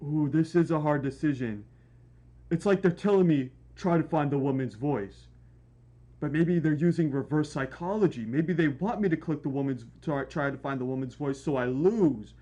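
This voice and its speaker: A man's voice: a little high, moderate pace, dark tone. A young man giving casual reaction commentary, slangy and dramatic, often repeating himself. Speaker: gaming reaction host.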